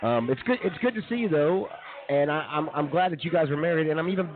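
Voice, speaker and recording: A man's voice: fairly high pitch, expressive, fast talker. A man co-hosting a comedy radio show, rambling through casual, slangy banter with his friends. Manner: rambling, slangy, often says "dude". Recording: dull muffled lo-fi audio.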